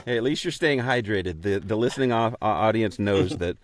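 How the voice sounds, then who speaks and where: A man's voice: lowish voice, a little fast. A man co-hosting a podcast, chatting casually and jokily with a guest. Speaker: podcast co-host. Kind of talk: casual, jokey chat.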